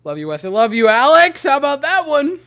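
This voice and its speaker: A young man with a hoarse, worn-out voice, rambling giddily to his livestream chat from home. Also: very high-pitched, big pitch swings, a little fast, fairly bright tone. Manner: giddy, rambling, talking to chat. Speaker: streamer.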